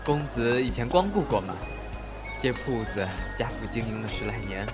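An actor playing an obliging, chatty toy shopkeeper with friendly sales patter in a Chinese period audio drama. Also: male voice, mid-range voice, lively intonation, moderate pace, music in the background, natural voice. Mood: regretful.

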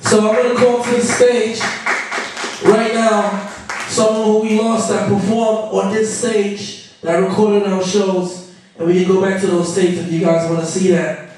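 High-pitched male open mic host, echoey. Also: little pitch movement, measured pace, darkish tone, recorded from a distance. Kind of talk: stage announcing. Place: small theater stage. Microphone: stage microphone, recorded by a distant camera. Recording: echoey room, picked up from afar.

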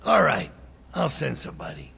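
A man's voice: medium pitch, wildly swooping pitch, normal speed, vocal fry, exaggerated voice. A man playing a middle-aged, hot-tempered, foul-mouthed crime boss in a video game, exasperated and profane. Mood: worked up. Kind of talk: cinematic game dialogue.

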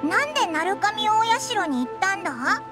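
An actress voicing a tiny, excitable, brash fairy companion in a video game, with a squeaky childlike voice. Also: female voice, moderate pace, loud music behind the voice. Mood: puzzled. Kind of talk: Japanese video game voice acting.

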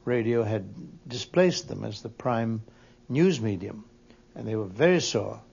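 A man telling anecdotes in an interview, haltingly searching for words. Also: fairly low pitch, expressive, creaky, darkish tone, husky. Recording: dull, muffled audio.

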